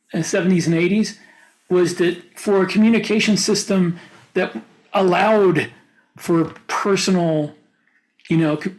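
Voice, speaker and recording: A man's voice: high voice, rough recording. A man co-hosting a podcast, talking casually with others about science fiction. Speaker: podcast co-host.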